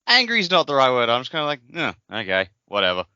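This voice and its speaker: A man's voice: medium pitch, wildly swooping pitch, quick pace, bright, forceful tone, clean tone, no room sound, highs cut off. A man co-hosting a podcast in loose, casual conversation. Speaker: podcast co-host. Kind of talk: casual chat.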